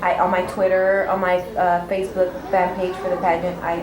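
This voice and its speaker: A woman's voice: on the low side, monotone, moderate pace, reverberant, background noise. A young woman from California, a pageant titleholder, talking openly and chattily in a talk show interview with plenty of fillers. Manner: open, chatty, many fillers.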